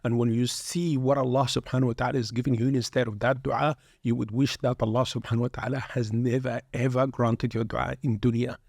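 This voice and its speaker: Earnest man, gravelly, flat. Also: mid-range voice, brisk, few pauses, no echo, studio quality. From born in England, raised in Sudan. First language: Arabic. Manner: earnest, preacherly, peppered with Arabic phrases. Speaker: guest shaykh, pilgrimage group leader. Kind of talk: reflective religious podcast talk.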